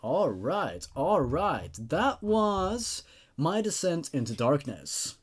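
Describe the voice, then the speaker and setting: A man hosting a casual online story review, talking to viewers in a halting, stammering way with repeated words. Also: on the high side, sing-song, husky. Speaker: host of a horror story review show.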